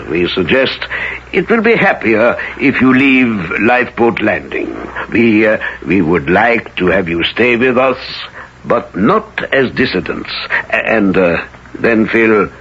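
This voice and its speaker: An actor playing a coldly controlling, quietly menacing village elder in measured, didactic 1970s radio drama style. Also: male voice, fairly low pitch, very animated, slight background noise, exaggerated voice. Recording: slightly muffled vintage radio recording.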